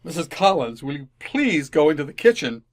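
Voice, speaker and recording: A woman's voice: low voice, very animated, a little fast. A woman acting a polite, exasperated family father hosting dinner in an old-time radio comedy. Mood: flustered. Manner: formal, flustered, placating.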